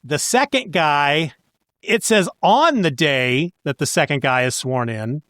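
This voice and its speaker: A man co-hosting a podcast in a casual chat, retelling stories in an animated way. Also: fairly high pitch, a little slow, dry recording, clean studio sound. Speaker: podcast co-host. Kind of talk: casual comic book discussion.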